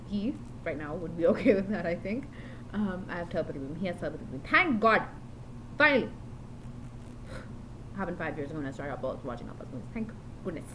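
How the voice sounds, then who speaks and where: A woman's voice: medium pitch, noisy background. A woman rambling through a diary-style vlog, meandering and often restarting or correcting herself. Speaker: vlogger.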